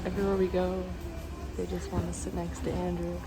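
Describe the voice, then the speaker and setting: A woman's voice: on the low side, completely flat delivery, a little fast, over loud music. A woman chatting casually for a vlog while out on a scooter trip. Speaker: vlogger.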